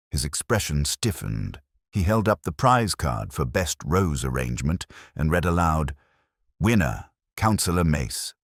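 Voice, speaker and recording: A man narrating a scripted comic mystery story in a measured, wry, literary way. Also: very deep voice, no room sound, studio quality. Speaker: audiobook narrator.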